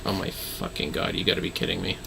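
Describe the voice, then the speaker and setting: A man's voice: low voice, little pitch movement, quick pace, gravelly, noisy background. A man chatting casually as he hosts a game livestream, informal and apologetic, swearing freely. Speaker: livestream host playing a video game.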